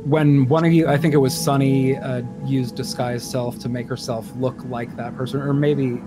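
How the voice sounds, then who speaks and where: A man's voice: mid-range voice, level tone, moderate pace, over music. A man narrating a tabletop roleplaying game as game master, recapping events and setting scenes.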